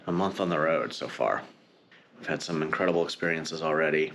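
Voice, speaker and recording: A man talking casually and informally to camera as a family travel vlogger, unhurried and thinking aloud. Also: very deep voice, slight background noise. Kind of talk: casual vlog chat to camera. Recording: loud music under the voice.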